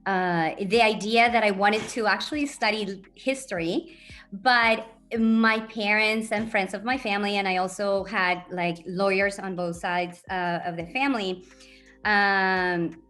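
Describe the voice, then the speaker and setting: A middle-aged woman talks at a moderate pitch, earnest and reflective. She hesitates often and fills her pauses with ums as she gives advice. Her voice comes through a video call, with faint music behind. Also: steady tone, a bit bright. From Colombia. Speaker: university diversity officer, guest. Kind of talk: guest telling her story and giving advice.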